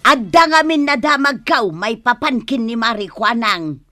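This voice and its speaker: A feisty woman shopper from a radio comedy drama. Her voice is very high and bright, with slow, lively, exclaiming delivery that challenges whoever she addresses. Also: no room sound, faint music behind. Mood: gossipy, brisk. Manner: exclaiming, confrontational. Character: quarrelsome female customer and mother, indignant, scolding.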